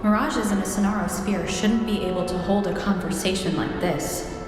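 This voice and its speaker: An actress playing a serious, observant female wanderer in a video game, murmuring her thoughts in a measured way. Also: mid-range voice, steady tone, over music, close to an everyday voice. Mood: pensive, puzzled. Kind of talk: video game voice acting, inner monologue.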